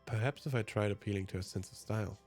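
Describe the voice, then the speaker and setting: A man's voice: fairly low pitch, fast talker, darkish tone. A man, a let's player reading visual novel narration aloud. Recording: music behind the voice.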